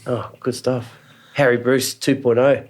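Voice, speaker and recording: A man's voice: medium pitch, level tone, measured pace, darkish tone. A man hosting a podcast interview, asking questions with plenty of ums and short encouraging replies. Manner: hesitant with many ums, frequent encouraging backchannels. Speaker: podcast host. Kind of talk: casual interview chat.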